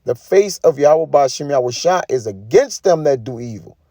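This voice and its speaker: A man's voice: medium pitch, expressive, normal speed, warm tone, no room sound, studio quality. A man giving an informal sermon, reading scripture and commenting in an emphatic, colloquial way with frequent tag questions. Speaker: preacher.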